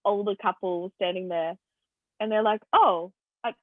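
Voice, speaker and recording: A young woman co-hosting a podcast, chatting casually with quick, giggly reactions. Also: medium pitch, fairly even intonation, no room sound. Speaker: podcast co-host. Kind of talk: casual chat. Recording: thin phone-like sound, dry.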